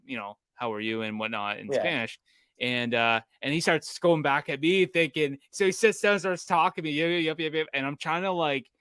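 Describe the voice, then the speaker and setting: A man chatting as a podcast co-host. He stops and restarts often as he tells a story. His voice is smooth and resonant, and the recording is dry and close. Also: fairly high pitch, a bit bright, no echo. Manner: halting, full of restarts while telling a story. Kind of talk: casual chat.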